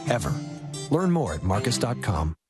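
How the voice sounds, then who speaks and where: Animated male ad narrator over loud music. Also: lowish voice, normal speed. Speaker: commercial narrator. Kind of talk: scripted radio ad narration.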